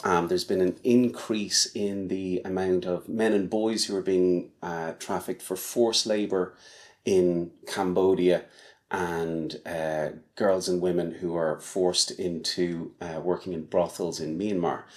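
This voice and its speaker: A man in his forties speaks slowly and carefully in an interview. His voice is very deep, and he often hesitates with ums between phrases. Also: steady tone. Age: 40s. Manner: slow, measured, hesitant with ums. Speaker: charity walker. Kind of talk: casual interview answers.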